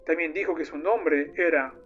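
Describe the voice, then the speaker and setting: A solemn young man, acted by a male narrator recounts his friend's monstrous downfall as scripted horror diary entries. His voice sits slightly high, grieving and vengeful, in a muffled lo-fi recording with music behind it. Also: moderate pace, lightly acted. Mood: determined, grim. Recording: muffled lo-fi audio under loud music.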